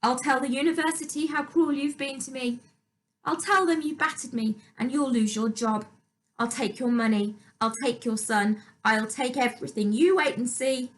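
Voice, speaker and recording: A woman's voice: normal speed, close to an everyday voice. A woman voicing a spiteful, petulant stepmother with a whiny voice in a dramatic storybook reading. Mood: vicious, threatening. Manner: petulant, shrill outbursts.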